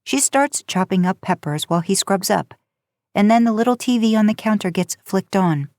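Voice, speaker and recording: A woman's voice: medium pitch, lively intonation, normal speed, darkish tone, no echo. A woman reading a story aloud in audiobook-style narration. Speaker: podcast host and fan fiction narrator.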